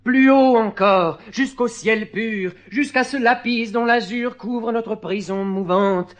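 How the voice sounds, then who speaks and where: A man's voice: very high voice, takes it slowly, pitched above the performer's own voice. A man playing an ecstatic, yearning circus clown in a recited poem, pleading in an exalted, declamatory way. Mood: exalted, soaring. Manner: exalted, pleading, rising to cries.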